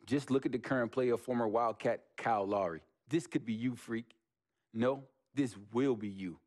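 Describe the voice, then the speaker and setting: A man voices a college recruiter reading out a recruitment letter. His tone is formal and measured in a medium voice. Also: mid-range voice, a little mellow, close to an everyday voice.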